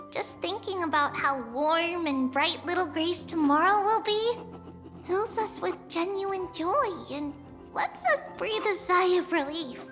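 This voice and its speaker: Mischievous little girl, very high pitch, cutesy, theatrical, animated swings, anime game character, music behind. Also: heavily acted. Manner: cutesy, theatrical, refers to self by name. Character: playful, mischievous little girl who speaks in third person. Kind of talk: anime-style video game voice acting.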